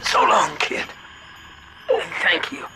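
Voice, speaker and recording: An actor playing a weary, anguished captive in a video game, folksy, halting and pleading as he speaks. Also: noisy background, natural voice. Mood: weary gratitude. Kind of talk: dramatic video game voice acting.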